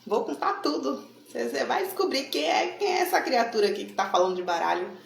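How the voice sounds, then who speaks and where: Chatty Bahian woman with a gravelly voice. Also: sits high, very animated, fast. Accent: Bahian Brazilian Portuguese. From Salvador, Bahia, Brazil. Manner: chatty, frequent tag questions. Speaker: tarot reader and channel host. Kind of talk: casual explanatory talk to camera. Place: room at home with a plant and altar. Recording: echoey room, faint noise.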